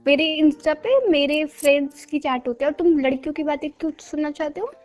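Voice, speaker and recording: A woman's voice: sits high, a little fast, a little mellow, faint music behind. A woman in the role of a wife, confronting her husband in a casual, accusing way during an argument. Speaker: wife in a prank video.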